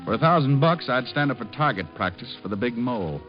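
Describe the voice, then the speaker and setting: A man's voice: medium pitch, lively intonation, quick pace, faint music behind, lightly acted. An actor in an old radio noir drama playing a wry, cocky hard-boiled private detective who wisecracks as he talks and narrates. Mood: wry narration. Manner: wisecracking, sardonic. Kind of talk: 1940s-50s radio noir acting and narration. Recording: muffled old radio recording.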